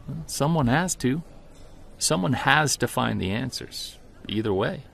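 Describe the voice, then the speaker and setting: An actor playing a driven, weary Bigfoot investigator in an audio drama, earnest and conversational. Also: male voice, medium pitch, expressive, measured pace, vocal fry, a bit bright, a little hiss or hum. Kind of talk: audio drama, first-person narration and dialogue.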